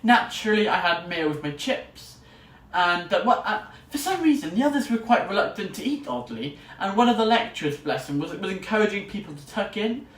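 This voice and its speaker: A man's voice: high voice, lively intonation, fairly quick, fairly bright tone. A young man, a student vlogger, chatting casually in an enthusiastic, rambling way. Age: young adult. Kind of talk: casual vlog to camera. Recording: roomy echo, distant mic, faint noise.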